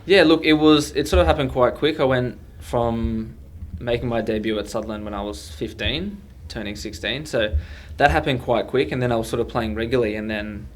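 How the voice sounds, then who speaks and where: A man's voice: medium pitch, fairly quick, a little hiss or hum. A young Australian man, a footballer, answering questions in a casual interview.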